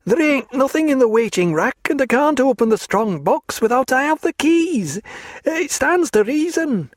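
A man's voice: very high-pitched, expressive, moderate pace, no echo, clean studio sound, voice pushed up. A man voicing a tearful young male clerk who pleads in a whiny, common way, in an audiobook. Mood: tearful pleading.

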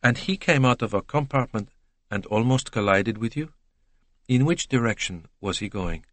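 A man voicing a calm, courteous middle-aged male detective who questions in a gentle, reassuring way in an audiobook. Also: medium pitch, normal speed, no room sound, pitched above the performer's own voice, close to an everyday voice. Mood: probing.

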